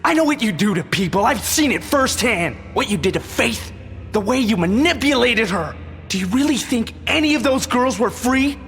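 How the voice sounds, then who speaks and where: An actor playing an outraged, grieving husband in a video game, impassioned and accusing. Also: male voice, very high-pitched, lively intonation, normal speed, fairly bright tone, heavily acted. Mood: anguished outrage. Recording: reverberant hall sound with music.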